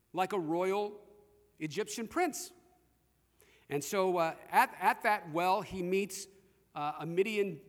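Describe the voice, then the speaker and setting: A man preaching a sermon in a conversational storytelling way, addressing his listeners directly. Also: a little high. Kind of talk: sermon with storytelling and scripture reading. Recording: long hall reverb.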